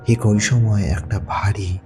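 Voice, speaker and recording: A man narrating a dramatic thriller story in measured, suspenseful phrases. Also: lowish voice, steady tone, music in the background.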